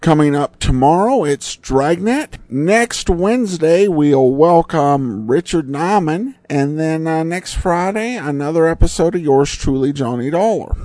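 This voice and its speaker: A male podcast host talks in a casual, informative way with a lively rise and fall in pitch. His delivery is halting, with frequent ums as he goes, and the recording is close and dry. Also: dry recording. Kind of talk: casual podcast commentary, reading and answering listener email.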